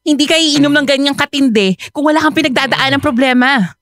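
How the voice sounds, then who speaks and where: A distressed partner confronting the man she lives with in a radio drama. Her voice is high and pleading, and it turns shrill and accusing. It sounds close and dry. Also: brisk, a bit bright, no room sound. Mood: worried, pleading.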